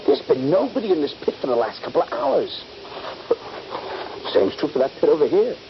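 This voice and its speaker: A man's voice: fairly high pitch, very animated, moderate pace, vocal fry. An actor playing a bold, eager young Union Army soldier in a radio drama, confident and dramatic in his urging. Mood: urgent. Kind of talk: radio drama acting with first-person narration. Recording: dull, noisy 1970s radio recording.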